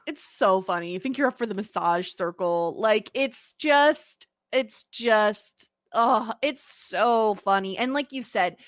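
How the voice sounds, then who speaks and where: A woman in her thirties with an upstate New York accent, co-hosting a podcast in casual chat, bubbly, laughing often and saying "like" a lot, with a slight vocal fry. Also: mid-range voice, expressive, slow pace, few pauses, dry recording, studio quality. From United States. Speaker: podcast co-host.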